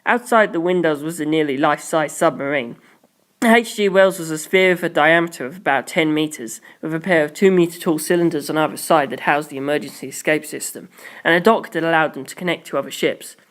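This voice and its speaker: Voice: mid-range voice, a little fast, a bit bright. An amateur narrator reading a first-person story expressively.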